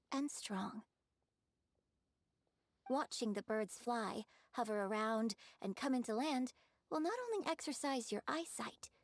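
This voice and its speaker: Poised, higher-pitched opera mentor. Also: female voice, no echo. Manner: formal, instructive, theatrical. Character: poised, exacting female opera performer mentoring a pupil. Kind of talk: scripted video game voice acting.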